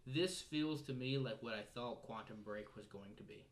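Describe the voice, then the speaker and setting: A man with an average-pitched voice takes part in a relaxed gaming discussion. His talk is informal and full of slang, like casual conversation among friends. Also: normal speed. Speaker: gaming talk show panelist. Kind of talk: casual chat.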